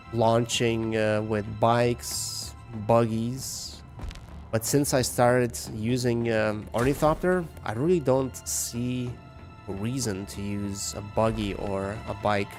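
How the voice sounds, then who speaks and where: A man from Lithuania with a Lithuanian accent, a game streamer giving casual, rambling and somewhat hesitant commentary and chat. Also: medium pitch. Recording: music behind the voice. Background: music.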